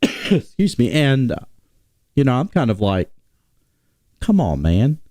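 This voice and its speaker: A man hosting a casual sports talk stream, sick and nasal, rambling with many pauses and restarts. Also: medium pitch, big pitch swings, darkish tone, no echo, clean studio sound. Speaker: podcast host.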